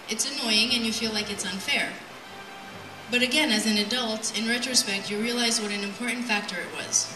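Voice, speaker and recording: A young adult woman giving an earnest, measured prepared speech at a podium in an event hall, reading from notes. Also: medium pitch. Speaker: speaker at a community event. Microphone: handheld mic on PA, picked up by distant camera. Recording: roomy, distant sound with music.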